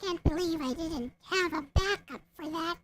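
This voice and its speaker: A woman chatting casually and haltingly, half to herself, as she narrates what she is doing on her computer. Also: very high voice, fairly bright tone. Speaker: visual novel creator narrating. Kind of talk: casual chat while searching computer files. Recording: faint background noise.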